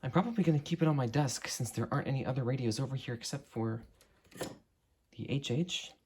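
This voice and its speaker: A young man in his late teens giving a casual, unscripted product demo in a halting, understated way. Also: mid-range voice, darkish tone, a little hiss or hum. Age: late teens, young adult. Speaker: hobbyist reviewer. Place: indoor room at a desk.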